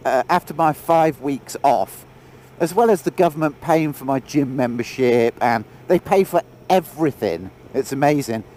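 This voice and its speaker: A man's voice: medium pitch, normal speed, mellow, a little hiss or hum. A man with a head cold, chatting and joking while riding a motorbike. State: congested with a cold. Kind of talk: casual chat while riding. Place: outdoors on a moving motorbike. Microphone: action camera on the bike.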